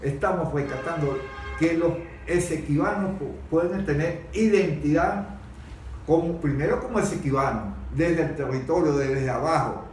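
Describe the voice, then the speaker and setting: A Venezuelan man in an unscripted political discussion, speaking haltingly, often repeating words and searching for his phrases. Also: fairly high pitch, darkish tone. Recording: very echoey room, distant mic, faint noise.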